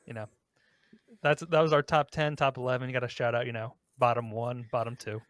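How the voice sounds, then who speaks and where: A man's voice: mid-range voice, flat delivery, a little fast, no echo, clean studio sound. A man hosting a casual podcast, chatting conversationally about games. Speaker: podcast host.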